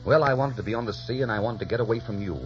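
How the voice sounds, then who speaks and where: An actor playing a proud, ruthless pirate captain in a 1940s radio drama, theatrical, commanding and taunting. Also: male voice, medium pitch, very fast, soft background music, lightly acted. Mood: bitter, proud. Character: proud, ruthless male pirate captain, brash and mocking former lover. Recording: muffled, noisy 1940s radio recording.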